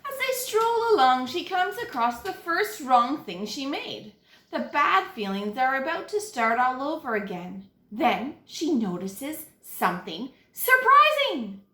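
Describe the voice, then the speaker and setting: A woman teacher reading a children's picture book aloud, with dramatic, deliberate phrasing and stressed key words. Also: high voice, big pitch swings, pushed and bright, echoey room. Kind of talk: expressive children's read-aloud narration.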